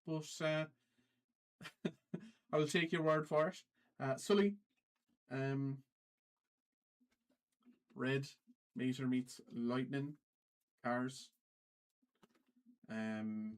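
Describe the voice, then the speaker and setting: A man hosting a trading card livestream in a put-on Irish accent, casually calling out cards in a halting way and often repeating himself. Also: on the high side, clear, resonant tone.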